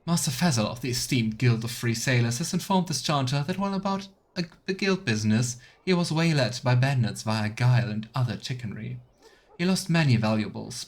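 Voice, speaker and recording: A man doing a let's play, reading quest text aloud and making casual asides as a game streamer. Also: mid-range voice.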